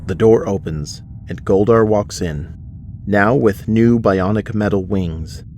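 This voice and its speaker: A man's voice: lowish voice, takes it slowly, warm tone, a little hiss or hum. A man narrating a scripted audio drama, setting each scene in the present tense.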